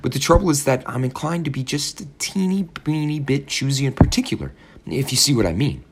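A man plays an overly sweet landlady who fusses and coos over her young guest. The voice sits well above the reader's own low register and comes a touch louder. It has a storybook-reading feel. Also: animated, brisk, slightly rough. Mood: cooing. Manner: fussy, cooing and gushing. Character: overly sweet, slightly odd older landlady.

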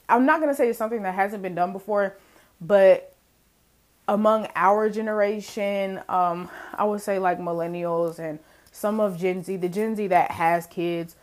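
A woman's voice: medium pitch, steady tone, a little slow. Chatty young woman podcasting solo. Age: young adult. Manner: chatty, laughs often, says y'all to listeners. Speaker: podcast host. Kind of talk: casual solo podcast chat.

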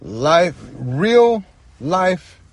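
A man talking casually and reflectively as a guest storyteller, earnest and halting, often repeating phrases for emphasis. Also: on the high side, sing-song. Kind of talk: casual, reflective personal talk.

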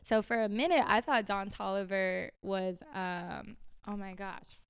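A woman's voice: mid-range voice, fairly even intonation, measured pace, gravelly, smooth. A young woman from Houston chatting as a podcast guest, filling her talk with "like" and laughing often. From Houston, Texas. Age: young adult. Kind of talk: casual interview chat.

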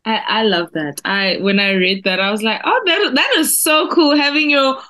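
A woman's voice: a little high, normal speed, sharp tone. A woman with a South African accent interviewing an author in a bubbly, giggly way. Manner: bubbly, laughs often. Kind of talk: casual interview chat.

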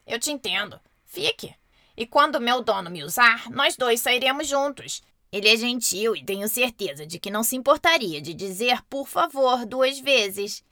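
A woman voicing a strong, well-used little word that starts out bossy and loud, then turns kind and generous. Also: on the high side, lively intonation, normal speed, dry recording, studio quality. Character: proud then kindly little please-word, strong and happy.

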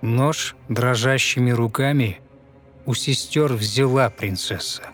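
A man narrating a fairy tale in verse with measured, theatrical, expressive recitation. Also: medium pitch, soft background music.

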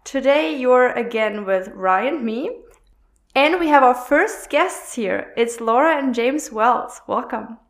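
A woman's voice: on the high side, expressive, slow pace. A woman hosting a podcast, asking curious questions in a friendly, casual way. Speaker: podcast host.

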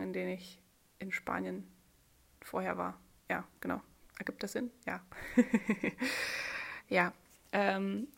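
A woman's voice: mid-range voice, creaky. A young woman from Germany chats alone on her podcast in a loose, friendly way. She laughs a lot between thoughts and keeps circling back with fillers and false starts. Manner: chatty, giggly, with many fillers and restarts. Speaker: podcast host. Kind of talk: casual solo podcast chat.

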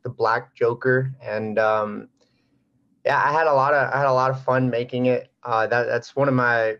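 A man, a painter, introducing himself on a group call in a loose, easygoing way full of fillers. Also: mid-range voice. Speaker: guest artist, painter. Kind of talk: casual group call chat. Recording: dull lo-fi online call audio.

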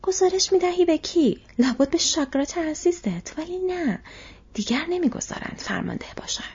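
A woman voicing an insolent, quarrelsome male orc tracker in an audiobook, scoffing and grumbling in a husky, breathy voice. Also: on the high side, lively intonation, darkish tone, full character voice. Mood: mocking. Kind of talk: audiobook character voice. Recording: dull, muffled lo-fi audio.

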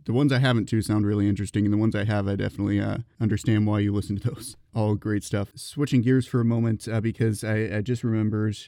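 Mellow, lower-pitched male interviewer. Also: little pitch movement, quick pace, few pauses, no echo, studio quality. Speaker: podcast interviewer, host. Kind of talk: casual interview chat.

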